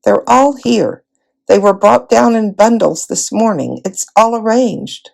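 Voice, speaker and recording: Lady reading as a serious big brother kid in a story, earnest, slow, creaky. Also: medium pitch, a little mellow. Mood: reassuring. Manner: earnest, reassuring. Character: solemn elder-brother boy, steady and resigned.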